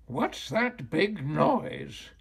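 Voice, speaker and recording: A man's voice: a little high, big pitch swings, extremely slow, gravelly, breathy edge, exaggerated voice. A man voicing a feisty, indignant old witch in a storybook, exclaiming and drawing out words. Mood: startled, indignant.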